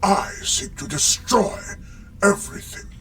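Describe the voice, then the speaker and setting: A man playing a menacing, gloating sorcerous villain in a fantasy audio roleplay, theatrical and drawn-out, sometimes sinking into whispers. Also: sharp tone, soft background music. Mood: menacing, grandiose.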